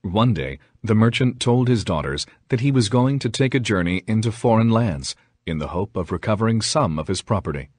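A man's voice: on the low side, lively intonation, brisk, a little mellow, husky, no room sound, studio quality. A man reading a fairy tale as scripted narration, in the role of a storyteller.

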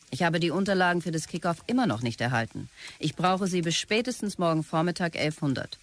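A woman playing a demanding company boss in a radio drama, giving clipped, commanding orders. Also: low voice. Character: bossy, demanding company boss issuing curt orders to staff.

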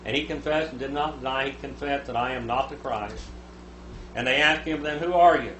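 Man in his seventies, Bible teacher, echoey small room, steady hum in the background. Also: medium pitch, brisk. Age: 70s. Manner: reads scripture aloud, rising loud for quoted speakers.

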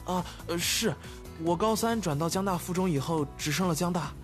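An actor playing a spirited, bashful young man in a romance audio drama, expressive and boyish in delivery. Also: high voice, moderate pace, faint music behind, close to an everyday voice. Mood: flustered. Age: young adult.

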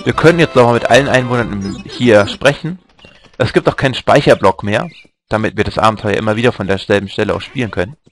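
A man's voice: lowish voice, quick pace, faint music behind. A man casually commenting on a video game he is playing, with hesitant fillers. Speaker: let's player.

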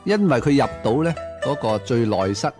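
A man's voice: mid-range voice, sing-song, moderate pace. A man with a Hong Kong accent co-hosting a literary discussion, explaining in a conversational way. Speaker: co-host of a book discussion segment. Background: music.

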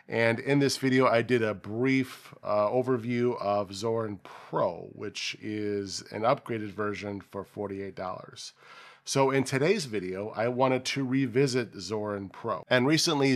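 A man in his forties with a slightly nasal voice from a cold, hosting a casual tech walkthrough from his home office, talking loosely with fillers and restarts. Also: on the low side. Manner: conversational, many fillers and restarts. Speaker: tech channel host. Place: home office with desk and monitor.

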